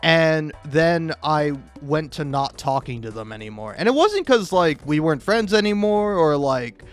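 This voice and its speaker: A young man telling casual, self-deprecating stories in an animated, rambling monologue, recorded on a modest home mic. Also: a little high, normal speed, smooth. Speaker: video creator. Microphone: cheap mic, home recording. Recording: amateur mic with occasional plosive pops. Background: music.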